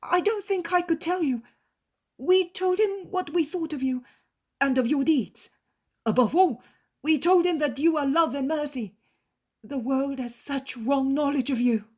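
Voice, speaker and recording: A woman's voice: high voice, measured pace, a little mellow, pitched above the performer's own voice. A woman voicing an earnest male disciple who speaks devotedly to his master.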